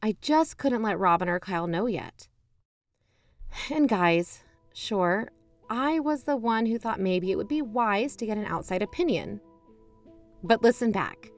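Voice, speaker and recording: An actress playing a suspicious, self-justifying investigator who hosts a podcast, narrating in an emphatic, confiding, conversational way. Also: female voice, medium pitch, lively intonation, a little slow, pauses often, faint music behind. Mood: defensive, insistent. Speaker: podcast host.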